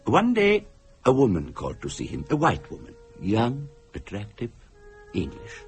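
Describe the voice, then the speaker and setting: An actor playing a courteous, solemn Indian psychic in a radio drama, with an Indian accent and formal, deliberate delivery. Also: male voice, mid-range voice, wildly swooping pitch, soft background music. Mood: grave, solemn.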